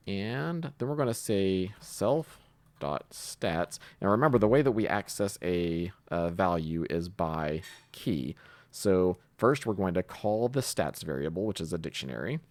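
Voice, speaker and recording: A man giving a casual screencast coding tutorial, talking haltingly as he thinks aloud while typing. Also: sits low, dry recording. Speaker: programming tutorial host.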